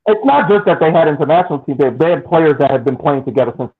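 A man's voice: on the high side, animated, quick pace. A man on a casual sports podcast panel, repeating words and phrases as he makes his point over a tinny line. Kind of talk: casual soccer discussion. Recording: tinny phone-like muffled line.

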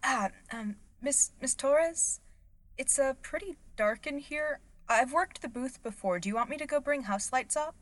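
A woman's voice: sits high, unhurried. Nervous, hesitant woman understudy. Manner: hesitant, informal, flustered. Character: nervous, clumsy woman understudy and booth technician. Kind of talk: audio fiction acting.

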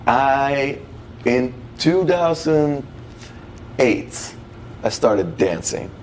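A man's voice: medium pitch, sing-song, drawn-out words, a little hiss or hum. A man, chatting casually and retelling his experiences in slangy, quoted dialogue. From Netherlands. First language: Dutch. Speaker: guest, professional dancer. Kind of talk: casual conversational storytelling.

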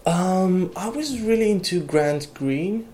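A young man who grew up in Iran, speaking English as a guest in a casual interview, halting with many fillers and restarts. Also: sits high, expressive, darkish tone, slight background noise. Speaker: guest, electronic music producer.

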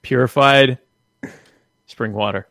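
A man chats casually as a podcast host in a dry, close recording. His delivery is wry and jokey, wandering and halting as he goes, at a medium pitch. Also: gravelly, bright, forceful tone, dry recording. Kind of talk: casual conversational podcast chat.

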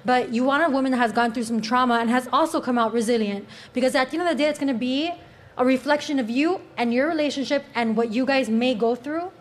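A woman's voice: high voice, fast talker. A woman with a Cuban accent, a panelist on a casual podcast, making her points earnestly and from her own experience. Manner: earnest, persuasive, speaks from personal experience.

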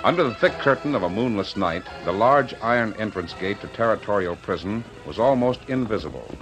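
A man's voice: lowish voice, lively intonation, fairly quick, music in the background, poor audio. A man narrating an old-time radio drama as announcer, in a grandiose, dramatic scripted style.

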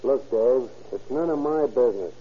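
A man's voice: medium pitch, moderate pace, slightly rough, lightly acted. An actor playing a hard-boiled, matter-of-fact stick-up man with blunt slangy talk in old radio style. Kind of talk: 1930s radio acting. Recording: muffled old radio recording.

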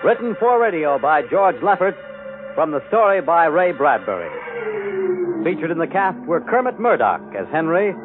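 Music sits behind an American male announcer on a narrow vintage radio recording. His slightly high voice reads scripted credits in a formal 1950s broadcast manner. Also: very animated, moderate pace.

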